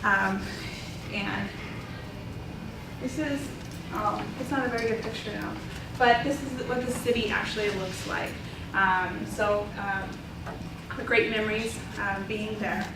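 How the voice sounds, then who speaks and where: A woman's voice: mid-range voice, fairly even intonation, measured pace, long pauses. Woman speaker in a distant, echoey hall. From Idaho, USA. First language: Spanish. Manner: conversational, many ums. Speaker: panelist, ESL instructor. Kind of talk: personal talk to an audience. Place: college symposium hall. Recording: echoey hall, mic far off, noisy.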